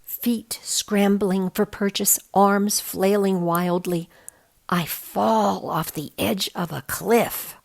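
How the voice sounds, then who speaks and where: A woman reading a children's novel aloud in an expressive storytelling style. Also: medium pitch, slow pace, vocal fry. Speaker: volunteer reader of a children's book.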